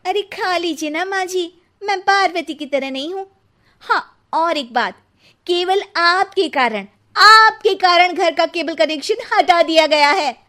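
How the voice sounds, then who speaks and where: A woman's voice: extremely high pitch, a little slow, bright, forceful tone, exaggerated voice. A woman playing a feisty, sarcastic young daughter-in-law in a cartoon, argumentative and theatrical. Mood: sly, needling. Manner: theatrical, argumentative back-talk. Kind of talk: exaggerated Hindi cartoon family drama.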